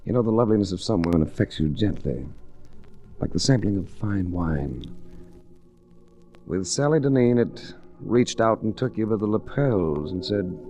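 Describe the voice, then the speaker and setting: Hard-boiled private investigator, lowish voice with very mobile pitch, wry narration, vintage radio. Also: male voice, dark tone. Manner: hard-boiled, dryly witty first-person storytelling. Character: tough, wry male investigator narrating his own case. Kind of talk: 1940s radio detective acting. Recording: restored old radio with hiss.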